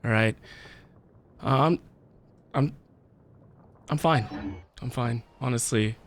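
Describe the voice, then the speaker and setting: A man's voice: mid-range voice, takes it slowly, a little hiss or hum. A man chatting casually as a live game streamer, with a playful, theatrical and mock-dramatic manner.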